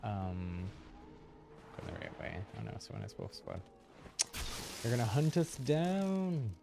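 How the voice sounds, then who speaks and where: A man's voice: on the low side, big pitch swings, a little slow, creaky. A man doing casual live game commentary, thinking aloud in a mild-mannered way. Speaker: game livestreamer. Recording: loud game music behind the voice. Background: loud game music.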